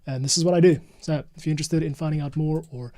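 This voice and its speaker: A man's voice: fairly high pitch, fairly even intonation, quick pace, warm tone, no echo. A man casually talking through his work as an audio editor, muttering to himself as he goes. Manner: thinking aloud, muttering to himself. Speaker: podcast editor. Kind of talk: casual behind-the-scenes commentary while editing audio.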